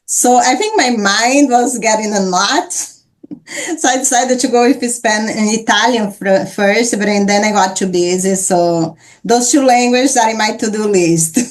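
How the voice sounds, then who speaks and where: A woman's voice: mid-range voice, a little slow. A woman hosting a casual interview, speaking in an encouraging way. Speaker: interview host. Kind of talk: casual conversation.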